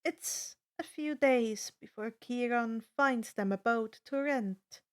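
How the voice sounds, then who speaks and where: A woman's voice: a little high, slow pace, smooth. A woman reading a story aloud as a fan fiction narrator.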